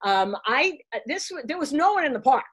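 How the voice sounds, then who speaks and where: An older woman with a Boston accent, her voice sitting a bit high for a woman. She tells her stories in an animated, chatty way and often restarts mid-sentence. She is heard on a video call from a room at home. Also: moderate pace. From Boston, USA. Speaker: guest, baseball broadcaster. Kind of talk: casual interview storytelling. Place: room at home, framed pictures on the wall.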